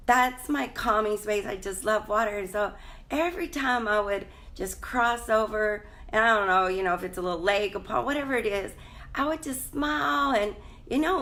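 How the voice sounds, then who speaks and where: A woman's voice: mid-range voice, a bit bright. Chatty, congested woman life coach. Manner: chatty, rambling, greets viewers by name. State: congested, slight cough. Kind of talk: casual live-stream chat. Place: hotel room.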